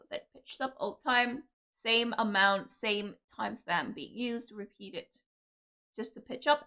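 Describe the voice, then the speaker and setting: A woman's voice: on the high side, fairly bright tone. A young woman from Cyprus, reacting with enthusiasm to songs as a video host, full of fillers and loving remarks. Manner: gushing, enthusiastic, lots of fillers. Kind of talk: casual music reaction commentary.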